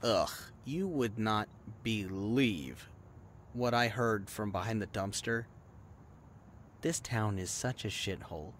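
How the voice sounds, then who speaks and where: An animated young adult man voicing a protective, judgmental friend in an audio drama. The delivery is casual and blunt, with lively pitch swings over faint ambient noise. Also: slow pace. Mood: disgusted. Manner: blunt, sarcastic, chatty. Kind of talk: roleplay audio drama, conversational acting.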